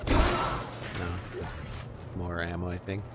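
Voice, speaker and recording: A man's voice: low voice, monotone, slow pace, background noise. A young man giving laid-back, joking, slangy commentary in casual gameplay chat. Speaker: gaming commentator. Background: loud game music and sounds.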